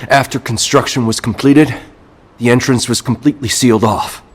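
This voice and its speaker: An actor playing a wounded, resolute male security captain in a video game, speaking gravely in a labored, husky voice. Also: mid-range voice, fairly even intonation, moderate pace, close to an everyday voice. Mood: grave, desperate. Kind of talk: video game cutscene acting.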